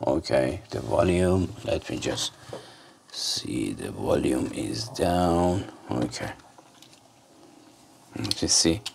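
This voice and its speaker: A man's voice: a bass voice, expressive, slow, creaky, pauses often, a little mellow, a little hiss or hum. A man giving a casual hands-on product demonstration, rambling and muttering to himself as he goes. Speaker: gadget reviewer. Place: indoors at a desk.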